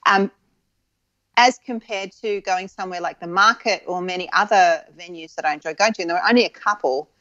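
A woman in her forties from Adelaide, with a soft Australian accent that leans English, reminiscing frankly as an interview guest with many ums and restarts. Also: on the low side, brisk, no room sound, muffled recording. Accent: soft Australian with an English tinge.

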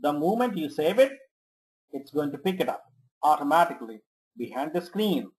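A software trainer giving step-by-step instructions in short commands, checking in often during a screen tutorial. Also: medium pitch, very animated, moderate pace, poor audio. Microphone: screen-recording voice-over.

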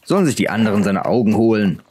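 A man's voice: fairly low pitch, lively intonation, fast, a little mellow, a little hiss or hum, lightly acted. A man voicing a bold, brash teenage noble youth with a challenging manner, in a fantasy audiobook. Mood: defiant.

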